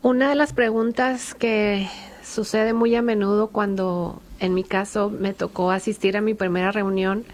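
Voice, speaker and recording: A woman on a discussion panel sharing her experience as a family member in a support group, earnest and halting, with frequent fillers and restarts. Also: medium pitch, steady tone, a little hiss or hum.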